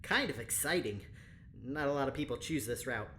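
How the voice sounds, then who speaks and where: A woman's voice: a bass voice, animated, brisk, a bit bright, a little hiss or hum. A woman acting as a cheerful, chatty, over-familiar spaceship engineer in an audio drama, with a breezy, rambling, teasing manner. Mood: friendly, apologetic.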